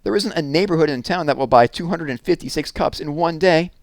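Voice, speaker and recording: An indignant young girl, played by a man, pitched well up and emphatic. She is an earnest little sister from a storybook who insists on her point. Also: a little fast, no echo, close to an everyday voice. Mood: incredulous.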